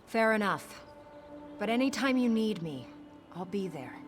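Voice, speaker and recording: A woman playing a protective, composed older sister with an earnest, measured manner in game dialogue. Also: mid-range voice, sing-song, soft background music. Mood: earnest, concerned.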